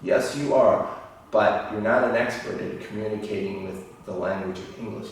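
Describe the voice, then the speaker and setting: A middle-aged man from Ohio with a Midwestern American accent, a university lecturer explaining concepts in an animated teaching video. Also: fairly low pitch, normal speed, creaky. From Ohio, United States. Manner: animated, gesturing, explaining in plain terms. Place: indoor office room. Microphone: far camera. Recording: echoey room, distant mic.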